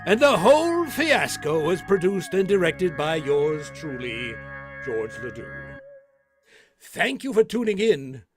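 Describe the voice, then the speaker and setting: A man's voice: a little high, very animated, takes it slowly, over music. A man who produced the show gives a scripted closing announcement of thanks and credits as its host.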